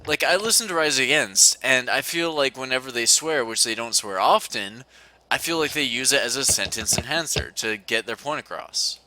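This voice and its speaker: A young man casually commenting on a game with a friend, joking and laughing often. His pitch moves around a lot, and his tone is sharp and bright. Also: lively intonation, normal speed, a little hiss or hum, low-quality recording. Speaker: let's play co-host.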